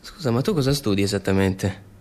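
Low-voiced, guarded male escort played by an actor. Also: lively intonation, brisk, darkish tone, lightly acted. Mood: humouring. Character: blunt, guarded male escort humouring his talkative client. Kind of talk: naturalistic radio drama dialogue.

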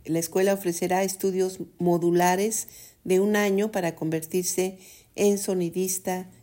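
A woman presenting community radio news, reading an article aloud with a steady delivery and adding brief comments. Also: fairly low pitch, unhurried.

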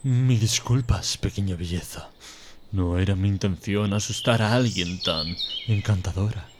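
Storybook wolf male actor: lowish voice, smooth-talking predator, insinuating courtesy, noisy backdrop. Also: measured pace, natural voice. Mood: sly, flattering. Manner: falsely courteous, flattering, insinuating. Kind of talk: audio fairy-tale drama acting.

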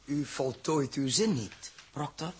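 An actor in a 1960s science-fiction radio drama playing an earnest, respectful spaceship captain with a hesitant manner. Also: male voice, on the high side, lively intonation, slow, darkish tone, slight background noise. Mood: worried.

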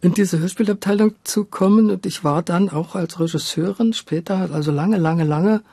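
An older woman, a former radio drama director, talking reflectively and a little haltingly in an interview, repeating words for emphasis. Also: on the low side, warm tone, slightly rough, no room sound.

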